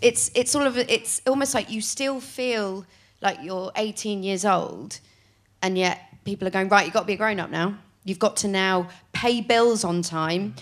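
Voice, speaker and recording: A woman's voice: mid-range voice, moderate pace. A woman in her late twenties, a podcast guest chatting casually, telling rambling stories with frequent giggles. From England. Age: late 20s. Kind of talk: casual chat.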